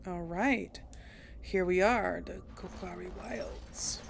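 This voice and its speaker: A woman's voice: fairly low pitch, takes it slowly, creaky, slight background noise. A young woman casually commentating as she plays a video game, chatty and amused, thinking aloud. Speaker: let's play host.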